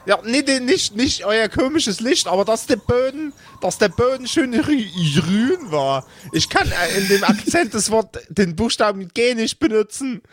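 A man's voice: very high-pitched, lively intonation, measured pace, hardly any pauses, voice pushed up, exaggerated voice. A man voicing a chatty, flustered woman who stammers and rambles, in an improvised role-play audio game. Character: Sille, chatty flustered East German woman.

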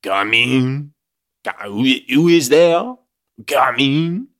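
A man's voice: medium pitch, expressive, very slow, a bit bright, pitched above the performer's own voice. A man voicing a kindly, blind old Frenchman with a French accent and a courteous, slightly theatrical delivery, as in a storybook reading. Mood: calling out.

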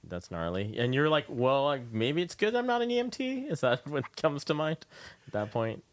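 A man's voice: mid-range voice, very animated, normal speed, dry recording. An American man hosting a radio interview, casually tossing in questions and short follow-ups in an informal conversational way.

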